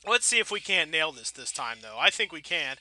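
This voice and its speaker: A man with a very bright, forward voice on the higher side hosts a gameplay video. He talks casually and rambles playfully, tossing in folksy mild exclamations. Game music plays behind him. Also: big pitch swings, fairly quick.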